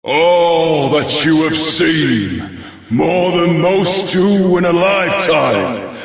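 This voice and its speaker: An ancient wish-granting dragon lord for a fantasy video game. He is imperious and grandly amused by mortals, drawing out his words very slowly with big theatrical swoops of pitch. The voice rings in a long, hall-like reverb. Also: lively intonation, heavily acted. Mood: grandly impressed. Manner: grandiose, theatrical, drawn-out delivery.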